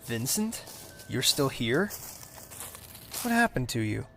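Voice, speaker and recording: A young nobleman played by a male actor speaks slowly in a mid-pitched voice over clear background music. He is exasperated yet secretly smitten and fearful, in the manner of a fantasy audio drama. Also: big pitch swings, sharp tone, exaggerated voice. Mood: concerned, awkward.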